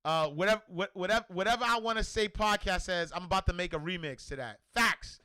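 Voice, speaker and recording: A man with a New York accent hosting a livestream, loudly and energetically answering viewer comments in casual chat. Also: high voice, quick pace, smooth. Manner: loud and energetic, reads comments aloud. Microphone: desk microphone.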